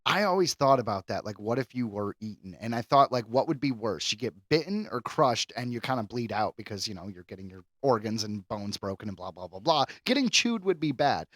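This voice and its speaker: A male podcast co-host rambling animatedly in casual chat. His pitch is lively and the recording is dry and close. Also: a little fast, hardly any pauses, no room sound. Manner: rambling, animated, laughs often.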